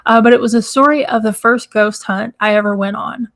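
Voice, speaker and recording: A woman hosting a casual live stream, chatty and rambling, with a hoarse, crackly, nasal voice from congestion. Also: on the high side, fairly even intonation, a little fast, fairly bright tone. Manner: chatty, rambling, laughs often. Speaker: host. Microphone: microphone.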